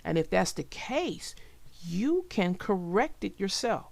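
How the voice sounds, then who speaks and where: A woman's voice: mid-range voice, sing-song, takes it slowly, vocal fry, a little mellow, no echo. A woman narrating for children, reading expressively and chatting emphatically to her young listeners. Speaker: storybook narrator and host. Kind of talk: expressive read-aloud and casual commentary for children.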